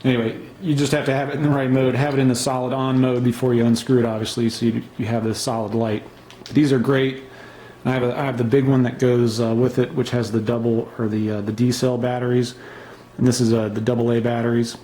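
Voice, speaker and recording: A man's voice: medium pitch, level tone, brisk, warm tone, slight background noise, poor audio. A man casually showing and reviewing his emergency gear, unscripted, with many fillers and restarts. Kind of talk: casual show-and-tell review.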